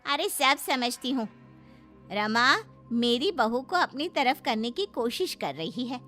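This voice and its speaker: Bright, sharp, possessive mother-in-law, acted by a woman. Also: a little high, big pitch swings, takes it slowly, faint music behind, full character voice. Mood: accusing. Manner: demanding, accusing, suspicious. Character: jealous, possessive middle-aged mother-in-law. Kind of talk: Hindi animated moral story acting.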